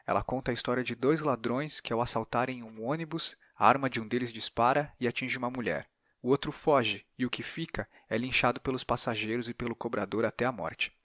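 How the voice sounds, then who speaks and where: A Brazilian man hosts a podcast with analytical, articulate explanations. His voice sits at an average pitch. The sound is very dry, with no room echo. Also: steady tone, brisk, a bit bright. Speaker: podcast host.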